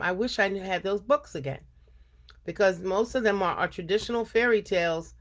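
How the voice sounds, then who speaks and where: Older woman, earnest storytime host. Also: fairly low pitch. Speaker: storytime host reading aloud to children. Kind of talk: informal chat and storybook read-aloud.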